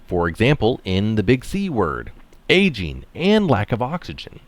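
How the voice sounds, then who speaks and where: A man's voice: fairly low pitch, wildly swooping pitch, normal speed, dry recording. A man reading an article aloud, stressing phrases and adding casual asides of his own. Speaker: article reader and commentator.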